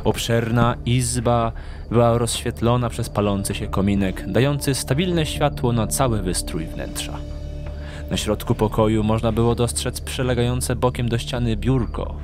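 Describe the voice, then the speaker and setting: Male narrator for a radio play, medium register, measured storytelling over a music bed. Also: medium pitch. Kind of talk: scripted audio drama narration.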